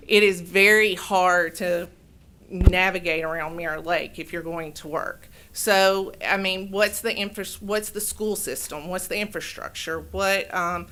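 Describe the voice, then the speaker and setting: A woman giving public comment at a city meeting into a podium microphone, loud and rambling, often correcting herself. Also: lowish voice, fairly even intonation, moderate pace. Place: city council chamber.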